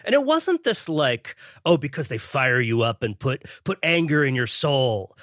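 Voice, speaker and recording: A man's voice: mid-range voice, very animated, unhurried, fairly bright tone, no room sound, studio quality. A man on a podcast panel, arguing a point thoughtfully and often repeating words as he builds his sentences. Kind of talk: casual panel discussion.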